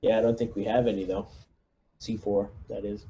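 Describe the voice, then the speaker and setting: A man's voice: lowish voice, flat delivery, brisk, warm tone, poor audio. A man playing a squad leader in a casual online tabletop game, giving directions in an easygoing, slightly hesitant way. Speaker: player acting as squad leader. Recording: clear background noise, room ambience.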